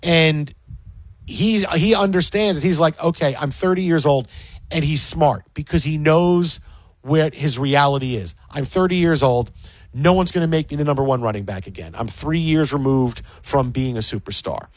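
A man co-hosting a casual sports talk show, animated and often repeating words as he gets a thought going. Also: a little high, moderate pace, no room sound. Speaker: sports podcast co-host.

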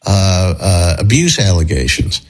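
A male morning radio host who is losing his voice, clearing his throat and giving strong opinions. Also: low-pitched, lively intonation, measured pace.

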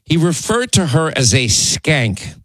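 A man's voice: on the high side, big pitch swings, a little slow, fairly bright tone, no room sound. A middle-aged man hosting a political talk radio show, rambling conversationally with asides and fillers while getting over laryngitis. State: recovering from laryngitis. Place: radio studio. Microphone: studio microphone.

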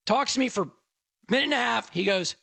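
A man from Texas hosting a tech radio show, talking fast and animated in a casual monologue. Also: very high-pitched, creaky, slightly rough, dry recording.

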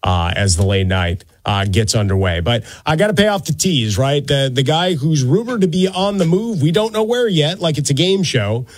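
A man's voice: on the high side, expressive, moderate pace, hardly any pauses, no echo. A man hosting a sports talk radio show in a casual, playful, rambling monologue on a close studio microphone. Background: music.

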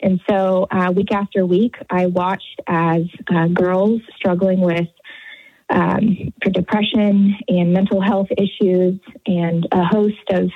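A woman in her thirties with an American accent, giving an earnest, halting personal account as a radio interview guest. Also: lowish voice, flat delivery, husky. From Houston area, Texas, United States. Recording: narrow phone-like line, roomy echo.

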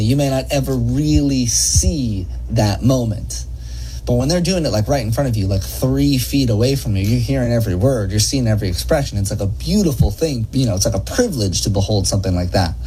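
A man's voice: medium pitch, dark tone, a little hiss or hum, rough recording. A man speaking casually as an interview guest, explaining things in an earnest, enthusiastic, rambling way. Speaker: interview guest, gondolier and athlete.

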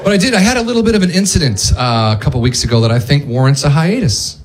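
A man in his thirties doing stand-up on stage, self-mocking and acting out quick voices. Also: a little high, very animated. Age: 30s. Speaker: stand-up comedian. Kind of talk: stand-up comedy set. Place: comedy club stage before a live audience. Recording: live audience crowd noise.

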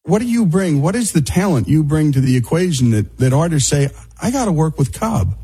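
Man, New Jersey accent, interviewer, very raspy, mellow tone. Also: fairly high pitch, sing-song, a little fast. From northern New Jersey. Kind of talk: conversational interview.

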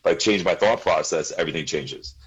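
Man, forties, very deep voice, husky, earnest, repeats phrases, laughs a lot. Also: fast, rough recording. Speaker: guest. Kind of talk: casual interview chat.

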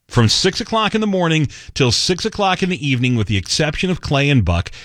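A man's voice: mid-range voice, a bit bright, no echo. A man hosting a conservative talk radio show, delivering a sarcastic monologue and mocking other outlets in put-on quotes, recorded on a studio mic.

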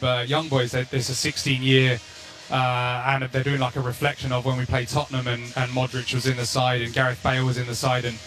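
A man's voice: medium pitch, fairly bright tone. A man with an English accent, a former pro soccer player, chatting loosely as a radio guest with many restarts. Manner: rambling, many restarts and fillers. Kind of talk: casual radio chat.

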